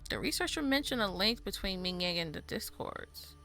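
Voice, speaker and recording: A woman streams a game with casual, expressive reactions. Her voice is bright and lively in pitch, often crackling into fry. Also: normal speed, faint music behind. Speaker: gaming streamer. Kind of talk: casual game playthrough commentary, reading dialogue aloud.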